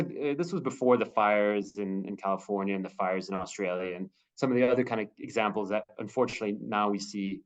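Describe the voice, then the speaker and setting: 低めの声でためらいがちに話す男性、こもった通話音声. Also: male voice, lowish voice, highs cut off. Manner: halting, many fillers and restarts. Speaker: humanitarian spokesperson. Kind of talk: video-call career talk answering questions.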